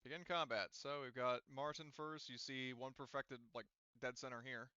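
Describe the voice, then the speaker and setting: A man's voice: medium pitch, fairly even intonation, normal speed, sharp tone, clean tone, no echo, muffled recording. A man casually running a tabletop game as game master, thinking aloud and often muttering through the steps to himself.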